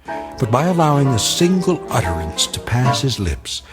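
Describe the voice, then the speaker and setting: A man delivering an ominous, deliberate scripted introduction to a radio drama. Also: mid-range voice, big pitch swings, music in the background. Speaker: narrator.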